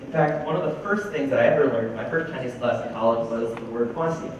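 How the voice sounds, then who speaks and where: A man's voice: mid-range voice, quick pace. Young man in a very echoey hall. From New Jersey, United States. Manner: storytelling, switching between English and Mandarin. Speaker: speaker giving a talk. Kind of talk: bilingual personal talk. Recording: very echoey hall, distant mic, noisy.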